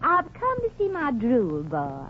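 A woman's voice: high-pitched, huge pitch swings, a little slow, full character voice. A woman playing a gushing, pushy Southern belle with a put-on American Southern accent in a 1940s radio comedy. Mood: gushing, sugary. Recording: dull, noisy 1940s radio recording.

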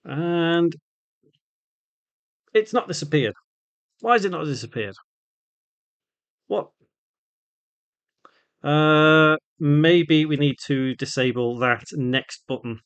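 Man presenting tutorial, slightly higher-pitched voice. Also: animated, slow, long pauses, fairly bright tone, clean tone. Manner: instructional, thinking aloud. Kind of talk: unscripted step-by-step software tutorial voice-over.

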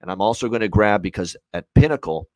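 A man's voice: lowish voice, animated, fast, a little mellow, no echo. A man in his thirties giving unscripted sports betting commentary as an analyst, emphatic and repeating his points. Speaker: sports betting analyst on a hockey preview show. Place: small home room, indoors, on a video call.

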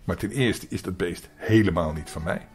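A man's voice: sits low, normal speed, a little mellow, slightly rough, faint music behind. A man voicing a fussy, formal schoolmaster in a children's story, stern but curious. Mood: mild annoyance. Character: stern, curious male schoolmaster, easily flustered.

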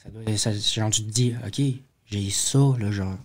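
A man's voice: on the low side, little pitch movement, measured pace. A man with a Quebec French accent chatting casually as a podcast panellist, rephrasing ideas in colloquial language.

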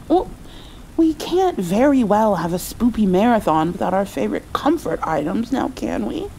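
A woman playing a doting, playful mother comforting her grown child in an intimate ASMR roleplay, softly and affectionately. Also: medium pitch, very animated, gravelly, slight background noise, poor audio. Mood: playful, cozy. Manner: soft, affectionate, slow with gentle laughs.

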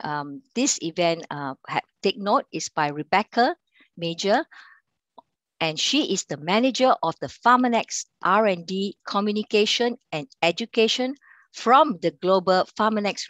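A middle-aged woman from Singapore with a Singaporean accent, running an online sales training over a video call, talking haltingly with many fillers and restarts. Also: fairly low pitch, expressive, a bit bright, dry recording. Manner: halting delivery with frequent fillers and restarts, quizzing the audience. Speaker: account manager and sales trainer.

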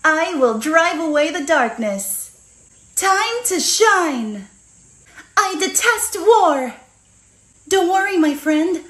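A woman's voice: very high-pitched, very animated, takes it slowly, long pauses, a bit bright, reverberant, voice pushed up, heavily acted. A woman voicing a young, protective video game heroine with earnest, declamatory delivery. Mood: brave, earnest. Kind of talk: video game character lines.

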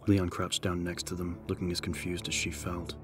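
A man narrating an audiobook in a measured, solemn, scripted dramatic style. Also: low voice, level tone, over music.

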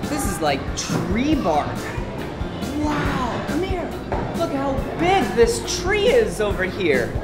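A man's voice: extremely high pitch, huge pitch swings, slow. A man presenting playfully for toddlers, exclaiming in an exaggerated, wide-eyed way and drawing out words. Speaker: children's show host. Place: indoor and outdoor play areas of a children's museum. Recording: music behind the voice.

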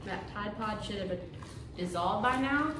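A woman's voice: medium pitch, lively intonation, a little slow, roomy sound, noisy background. A woman, chatting casually to camera about cleaning in her living room. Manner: chatty, homey, fillers like "like" and "um". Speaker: cleaning vlogger. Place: carpeted-free living room of a house, indoors.

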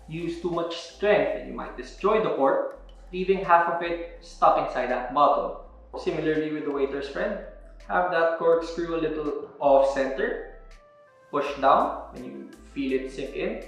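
A man's voice: on the high side, creaky. A young man explaining step by step how to open a wine bottle, in an instructional demo recorded indoors. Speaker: wine presenter. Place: indoor room with a table. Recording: very echoey room.

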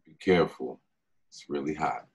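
A young man playing a smooth-talking online dating deceiver in a script read-through over a video call. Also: rumbling low, moderate pace. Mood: teasing, unapologetic. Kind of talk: actor reading a script at a table read.

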